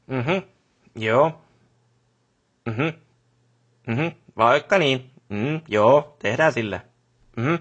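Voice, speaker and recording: Man acting a pompous, husky-voiced company boss. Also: medium pitch, long pauses, fairly bright tone, dull audio, close to an everyday voice. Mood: distracted, offhand. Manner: stiff, long-winded corporate formality. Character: pompous, bureaucratic middle-aged male company boss. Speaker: job boss character. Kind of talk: formal scripted meeting speech in a video game.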